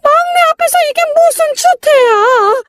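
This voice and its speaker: A woman's voice: very high voice, lively intonation, unhurried, a bit bright, voice pushed up, full character voice. A woman voicing a distressed elderly mother in a narrated family drama, wailing and reproaching her grown sons.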